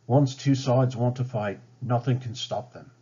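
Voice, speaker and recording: A man's voice: mid-range voice, a little slow, dark tone, slightly rough. A brave, earnest settler acted out by a male storyteller, plain-spoken and sincere. It is a storybook reading with a somewhat dull, band-limited sound.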